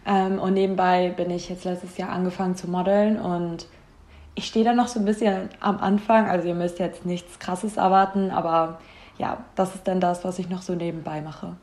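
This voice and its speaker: A woman's voice: on the low side, little pitch movement, moderate pace, a little mellow, roomy sound. A twenty-one-year-old woman, a podcast guest, talking in a bubbly, enthusiastic way. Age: 20s. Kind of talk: casual chat.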